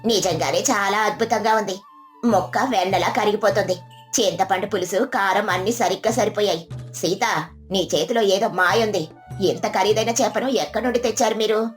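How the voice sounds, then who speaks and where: A woman's voice: mid-range voice, normal speed, soft background music, lightly acted. A woman voicing a wealthy middle-aged aunt with an effusive, motherly manner in a moral story. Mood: impressed.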